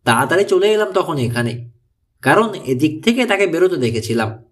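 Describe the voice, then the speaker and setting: Guy doing a worried mom in an audiobook story, wary n anxious, really animated delivery. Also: a little high, normal speed. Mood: worried, explaining. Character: worried, wary American mother posing as Mexican. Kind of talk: audiobook character dialogue.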